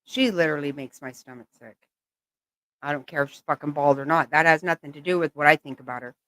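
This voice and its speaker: A woman's voice: deep voice, quick pace, a bit bright, dry recording. Exasperated, cursing woman ranting. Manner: blunt, swearing, exasperated. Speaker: channel host reacting to clips. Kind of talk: angry reaction commentary.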